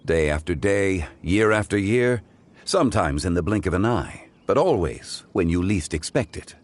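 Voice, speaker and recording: Deep-voiced, courteous wise gentleman. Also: expressive. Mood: gentle, philosophical. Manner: formal, measured, philosophical. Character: calm, courteous, wise gentleman who seems young. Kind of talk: video game voice acting.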